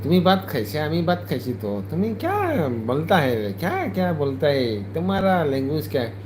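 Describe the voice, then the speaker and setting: A man with a Manipuri accent and a fairly high voice chats playfully and teases in loose, colloquial Hindi. His first language is Manipuri. Steady background noise and passing vehicles sit behind his voice. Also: normal speed. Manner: playful, teasing, in colloquial Hindi sprinkled with "re bhai". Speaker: vlogger. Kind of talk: casual vlog chat.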